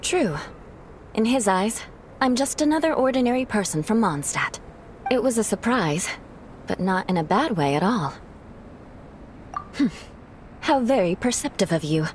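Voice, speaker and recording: A proud young noblewoman knight from a video game. Her voice is medium in pitch, with formal, refined phrasing that hides a kind streak, over faint noise. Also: sing-song, a little slow, pauses often. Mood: reserved, confiding.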